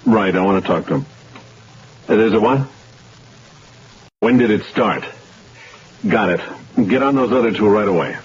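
An actor playing a tough, unflappable police detective who speaks curtly and dryly. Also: male voice, fairly low pitch, lively intonation, normal speed, pauses often, background noise, lightly acted. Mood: commanding. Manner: curt, dry, commanding. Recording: dull old radio broadcast.

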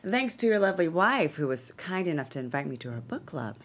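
A woman's voice: low-pitched, sing-song, quick pace. A woman at a screenplay table read, playing a poised, commanding and playful female talk show host with folksy, emphatic showmanship. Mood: gracious, chatty. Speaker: actor at a script table read.

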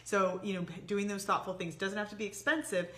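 A woman's voice: mid-range voice, steady tone, fairly quick, bright, forceful tone. A middle-aged woman co-hosting a conversational relationship advice talk, explaining points and acting out example phrases as she goes. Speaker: co-host giving relationship advice.

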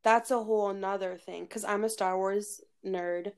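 A woman's voice: medium pitch, fairly even intonation, normal speed, a little mellow, smooth. A woman co-hosting a podcast in bubbly, gushing casual chat, sometimes groping for words. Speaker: podcast co-host.

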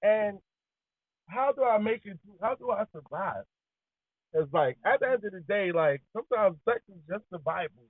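A man's voice: high voice, expressive, moderate pace, breathy edge, no room sound. A man co-hosting a casual talk show, arguing his points in an insistent, slangy, conversational way. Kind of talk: casual debating banter. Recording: tinny, phone-like band-limited audio.

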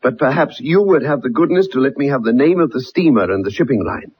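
An actor playing an earnest, persistent ex-soldier in a radio drama, polite but insistent as a troubled, loyal friend. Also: male voice, mid-range voice, expressive, fast, slightly rough. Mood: politely insistent. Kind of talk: 1960s radio drama acting, first-person narration. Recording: muffled old radio broadcast recording.

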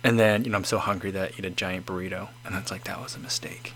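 A man's voice: deep voice, steady tone, fast, a bit bright, a little hiss or hum. A man in his forties casually chatting as host, reading out viewer comments and rambling with many restarts. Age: 40s.

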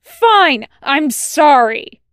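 A woman's voice: high voice, big pitch swings, very slow, fairly bright tone, no room sound, clean studio sound, voice pushed up, exaggerated voice. A woman voicing a bitter, guilt-ridden male soldier with clipped, volatile delivery in a dramatic story reading. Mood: anguished, shouting. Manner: snapping outbursts, clipped curt replies. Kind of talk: dramatic fan fiction reading.

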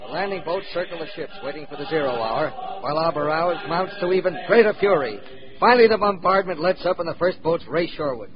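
A man's voice: a little high, natural voice. An actor playing a wry, plucky American war correspondent, narrating his experiences in a dramatic 1940s radio style. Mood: wry, urgent. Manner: dramatic, measured, wry understatement. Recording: muffled, noisy 1940s radio recording.

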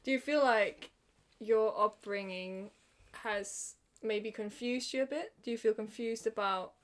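A woman's voice: mid-range voice, unhurried. A young woman hosting a casual podcast, giggling and rambling, often restarting her questions mid-sentence. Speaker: podcast host. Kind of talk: casual interview chat.

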